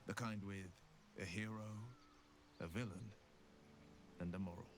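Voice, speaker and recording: A man playing an earnest hero in a video game, telling things in a measured, solemn way and wary of devils. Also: low voice, fairly even intonation, noisy background. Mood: solemn storytelling. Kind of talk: video game dialogue acting.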